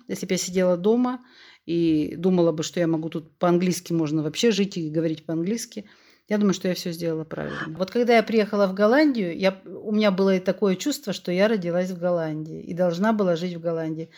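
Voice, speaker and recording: Woman around sixty, from Russia. Candid, lively guest voice, speaks openly in conversation, loves to retell scenes with dialogue. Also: on the low side. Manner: frank, animated, tells anecdotes with quoted dialogue. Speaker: podcast guest.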